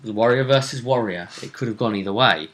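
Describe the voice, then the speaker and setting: Bright-voiced man recapping a tabletop game. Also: lowish voice, quick pace. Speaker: host and game master. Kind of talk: casual recap of a tabletop role-playing tournament.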